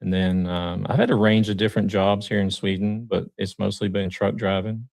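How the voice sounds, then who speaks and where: A man from the United States, a guest truck driver on a live stream, talking casually and hesitantly with many pauses. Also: fairly low pitch, gravelly. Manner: relaxed, hesitant with many ums.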